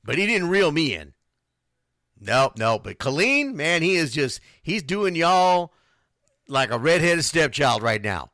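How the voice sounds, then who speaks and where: A man's voice: fairly high pitch, big pitch swings, a little slow, sharp tone, dry recording, studio quality. A man hosting an unscripted sports talk show, answering listeners in a blunt, emphatic way and repeating phrases for stress. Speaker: sports radio show host.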